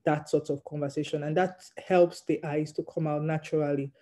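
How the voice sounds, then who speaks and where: Non-native english speaker, gp trainee, lots of ums, pretty flat and very dark mellow tone. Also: medium pitch. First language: not English. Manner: hesitant, frequent ums, measured. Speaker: GP trainee interviewed about passing an exam. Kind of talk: interview answers.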